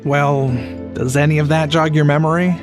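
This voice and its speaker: A man's voice: on the high side, level tone, moderate pace, soft background music, natural voice. A man voicing a tired male traveling merchant in a video game. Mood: weary.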